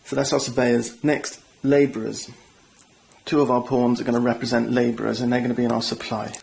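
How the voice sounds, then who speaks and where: A man's voice: medium pitch, little pitch movement, a little fast, vocal fry, a little mellow, rough recording. A man hosting a board game channel, walking viewers through setup and rules in a chatty, enthusiastic way with self-deprecating asides. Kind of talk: tabletop game rules walkthrough.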